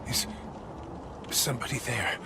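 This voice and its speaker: A man voicing a dying vassal who speaks faintly, haltingly and earnestly, in game audio with ambient noise. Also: close to an everyday voice. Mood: weak, earnest.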